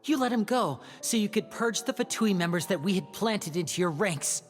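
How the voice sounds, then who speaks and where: Actor voicing a young male game magician, medium pitch, defiant, guarded, accusing, long reverb, music underneath. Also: expressive, normal speed, natural voice. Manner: accusing, guarded, sharp-tongued. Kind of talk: scripted game voice acting.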